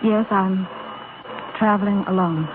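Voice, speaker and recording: An actress playing a gentle, devoted, bravely composed young woman in a 1930s radio drama. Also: mid-range voice, steady tone, unhurried, lightly acted. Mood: composed, sad. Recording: tinny 1930s radio broadcast, music behind.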